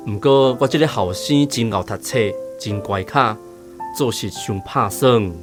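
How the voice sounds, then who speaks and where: A man's voice: medium pitch, measured pace, over music, close to an everyday voice. An actor playing a middle-aged farmer father, weary, humble and plain-spoken, in a radio drama with a Taiwanese accent. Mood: humble, pleading.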